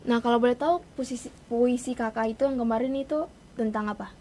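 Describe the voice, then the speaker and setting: Woman host, fairly high voice. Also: fairly quick, dark tone, a little hiss or hum. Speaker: interviewer, host. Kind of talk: casual campus interview.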